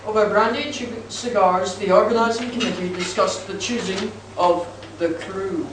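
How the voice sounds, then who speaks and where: A man's voice: high-pitched, steady tone, moderate pace, close to an everyday voice. A man playing the plain-spoken narrator of an amateur radio play, reading scripted storytelling. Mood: matter-of-fact. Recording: echoey hall, distant, loud noise.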